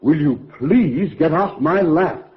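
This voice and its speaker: Stubborn, exasperated radio-comedy boss. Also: male voice, a little high, animated, slow, heavy background noise. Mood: firm. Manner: formal, firm, blustering. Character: stubborn, exasperated businessman sponsor, male boss. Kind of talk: 1940s radio comedy acting. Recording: muffled old radio broadcast with audience.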